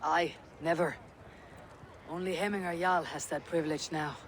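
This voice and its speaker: An actor playing a loyal, reverent Viking warrior in a video game, speaking in a grave, terse and formal manner. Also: male voice, a little high, steady tone, normal speed, fairly bright tone, natural voice. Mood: solemn. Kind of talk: dramatic video game acting.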